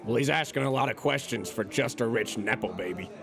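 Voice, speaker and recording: A man's voice: mid-range voice, a little fast, creaky, over music, lightly acted. An actor playing a crude, suspicious mob boss in an audio drama, threatening and sneering in slangy tough-guy talk.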